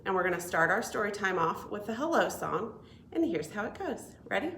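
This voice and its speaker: A woman working as a children's librarian, hosting a read-aloud storytime in an animated, encouraging way with simple phrasing for small children. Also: medium pitch, fairly quick, roomy sound, slight background noise.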